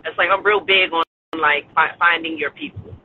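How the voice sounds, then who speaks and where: A man's voice: fairly high pitch, fairly even intonation, normal speed. A man in his forties calling in from a car, upbeat and encouraging, laughing often. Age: 40s. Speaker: podcast guest. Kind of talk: casual chat. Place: in a car on the street. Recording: tinny phone line with faint road noise.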